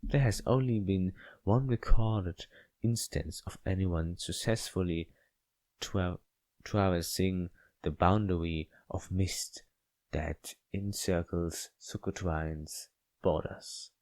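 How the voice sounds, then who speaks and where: Slow, halting man narrating a game. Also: deep voice, a little mellow, dry recording. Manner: halting, stumbles and repeats words while reading. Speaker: gaming video host playing a visual novel. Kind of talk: casual let's play commentary with read-aloud story text.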